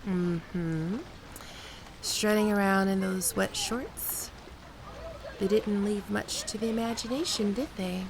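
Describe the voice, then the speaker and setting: A woman's voice: medium pitch, gravelly, noisy background. A woman playing a flirtatious, playful holidaymaker in an ASMR roleplay, talking in a coy, teasing, conversational way. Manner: coy, teasing, giggly, unhurried with pauses.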